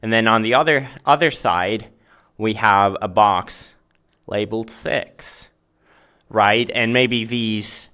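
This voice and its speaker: A man's voice: fairly low pitch, takes it slowly, pushed and bright, clean tone. A man whose native language is Finnish gives an informal explanatory lesson, searching for words and often checking in with "right?". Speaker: host and math teacher. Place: virtual reality chat world.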